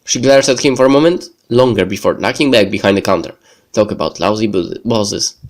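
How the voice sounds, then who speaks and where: A man's voice: on the low side, a little fast. A man reading visual novel narration aloud as a let's player. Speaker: video game let's player.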